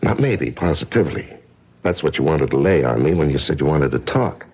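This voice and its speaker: An actor playing a streetwise, wary drifter in a 1970s radio drama, with a sardonic, slangy way of talking. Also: male voice, extremely low pitch, expressive, a little fast, breathy edge, heavily acted. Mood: hard, sardonic. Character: streetwise, wary, wisecracking male drifter drawn into crime. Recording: dull lo-fi old radio recording.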